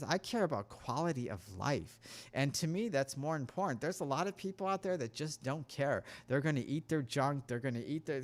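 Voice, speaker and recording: A man giving a casual talk-show monologue on health, earnest and preachy, rambling through his points. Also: medium pitch. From United States.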